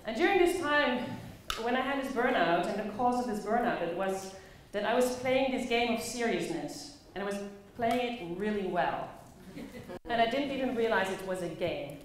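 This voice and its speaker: A woman with a Dutch accent gives a storytelling talk in a highly reverberant room. Her voice sits on the high side, and she speaks expressively with dramatic pauses. There is slight noise behind her. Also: animated. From Netherlands. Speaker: conference speaker, play facilitator. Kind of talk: personal storytelling talk to a live audience. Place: echoey indoor conference room. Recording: very echoey room, faint background noise.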